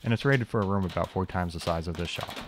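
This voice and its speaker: A young man with a low voice delivers a quick, scripted voice-over tour of his workshop. His humor is dry and wry, full of self-deprecating asides. A faint hiss sits under the recording. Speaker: channel host, machinist.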